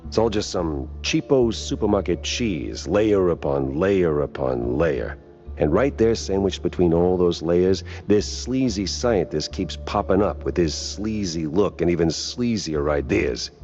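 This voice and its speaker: An actor playing a world-weary cartoon mouse private eye in a video game, with a low, gruff voice and dry, wisecracking noir delivery. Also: male voice, animated, unhurried, darkish tone, lo-fi sound, faint music behind. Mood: wry, weary.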